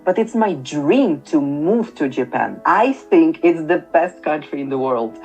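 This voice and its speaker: A woman working as an online English tutor, talking in a chatty, reflective way with soft laughs. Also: low-pitched, expressive, unhurried, faint music behind, poor audio. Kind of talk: casual conversation.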